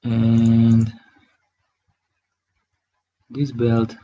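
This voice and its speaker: A man from Hungary, speaking English with a Hungarian accent, talks through a live drawing tutorial, thinking aloud in a halting way as he works. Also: mid-range voice, monotone, warm tone, highs cut off. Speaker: digital artist streaming his work.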